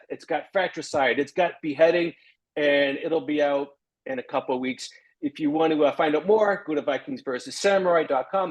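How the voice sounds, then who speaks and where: A man's voice: fairly high pitch, rough recording. A man hosting an interview, upbeat and salesy as he plugs the next release. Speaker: host.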